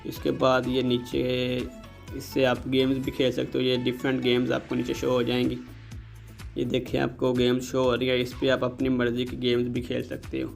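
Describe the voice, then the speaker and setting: A man presents a phone app tutorial in a polite, casual way. His pitch stays fairly flat and his voice has a creaky, fried texture. Music plays faintly behind his narration. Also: normal speed. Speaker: host of a tech tutorial channel.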